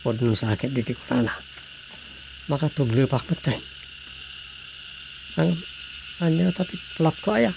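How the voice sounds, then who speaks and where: An actor with an Ilocano accent playing a folksy, comic small-town mayor and husband in a radio drama comedy. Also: male voice, medium pitch, animated, a little slow, vocal fry, pauses often. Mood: grumbling, comic. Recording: noisy background with cricket-like ambience.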